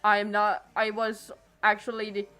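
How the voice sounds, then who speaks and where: A woman's voice: mid-range voice, monotone, unhurried, fairly bright tone. A teenage girl streaming from home on a desk microphone, chatting casually and hesitantly, trailing off and restarting her sentences. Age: late teens. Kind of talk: casual rambling stream chat.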